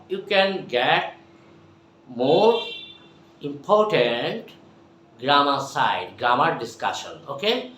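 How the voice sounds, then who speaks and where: A man from Bangladesh with a Bangladeshi English accent, teaching English by repeating tongue twisters slowly and emphatically. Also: medium pitch, fairly bright tone. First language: Bengali. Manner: repeats phrases slowly and emphatically, addresses viewers as my dear. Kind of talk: tongue twister drill lesson. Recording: echoey room, faint background noise.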